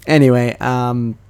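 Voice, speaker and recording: Slow, hesitant young man rambling. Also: mid-range voice, a little mellow, clean tone. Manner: hesitant, many ums and self-corrections. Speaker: anime commentary youtuber. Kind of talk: casual rambling monologue.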